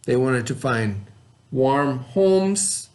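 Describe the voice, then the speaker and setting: A man's voice: mid-range voice, lively intonation, drawn-out words, a little mellow. A man teaching a class, reading a story aloud and stopping to explain words and ask the children questions. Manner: explains and asks the class questions between passages.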